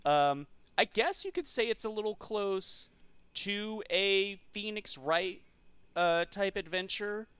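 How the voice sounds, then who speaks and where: Clear, dry-sounding man chatting about films. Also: sits high, expressive, takes it slowly, fairly bright tone, no echo. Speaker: podcast co-host. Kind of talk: casual film discussion.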